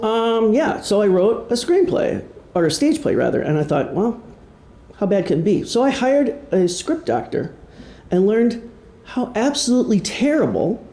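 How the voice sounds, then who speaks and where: Male author-musician presenting informally: mellow, creaky voice, conversational and self-effacing, recorded in a live room. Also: a little high. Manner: halting, self-deprecating, conversational. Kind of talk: informal public talk about own creative project. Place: indoor room before an audience. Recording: roomy live presentation sound.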